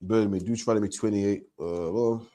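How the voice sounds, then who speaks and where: A man's voice: fairly low pitch, fairly even intonation, fairly quick, warm tone. A man teaching about faith to a questioner, emphatic and repetitive, ending phrases with "man". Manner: emphatic, repeats points, ends lines with "man". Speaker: religious teacher. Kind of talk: street preaching to a questioner.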